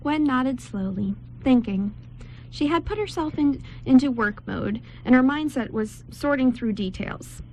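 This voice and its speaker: A woman's voice: high voice, lively intonation, a little slow, a little mellow, rough recording. A woman reading scripted story narration for an amateur radio drama. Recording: background noise, amateur room recording.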